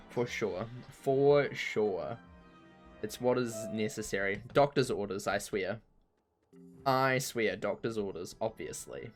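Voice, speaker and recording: A man with a croaky voice chatting casually while streaming a game, rambling and poking fun at himself. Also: on the low side, slow pace, soft background music. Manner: rambling and self-mocking, laughs easily. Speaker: streamer playing an online game. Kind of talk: casual chat while gaming.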